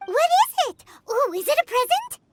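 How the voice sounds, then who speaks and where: A woman voicing a small childlike fairy companion in a video game, squeaky, cheeky and excitable. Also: moderate pace, soft background music. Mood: delighted. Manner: refers to self by name, exclamatory.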